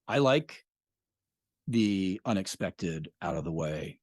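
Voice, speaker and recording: A man's voice: sits low, expressive, slow pace, darkish tone, dry recording, studio quality. A middle-aged man, a podcast creator talking as a guest in a thoughtful, hesitant way. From Washington State. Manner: thoughtful, hesitant, many fillers and restarts. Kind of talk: casual interview chat.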